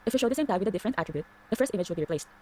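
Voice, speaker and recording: A woman delivers scripted instructional narration at a very quick pace. She explains each step in an orderly way as a tutorial narrator, at a middling pitch. A faint noise runs underneath. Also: lively intonation, warm tone.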